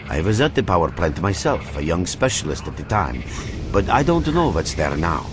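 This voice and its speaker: Brainy video game scientist, explains stuff carefully, pitch moves around a lot, bit of noise behind. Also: male voice. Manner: explanatory, measured, scholarly. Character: male scientist briefing a survivor, thoughtful and measured. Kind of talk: scripted video game dialogue.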